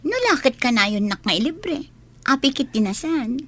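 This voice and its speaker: A woman's voice: high voice, sing-song, moderate pace, a bit bright, exaggerated voice. A woman with a northern Philippine accent playing a sassy, teasing villager in a radio comedy-drama. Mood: playful. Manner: teasing, questioning.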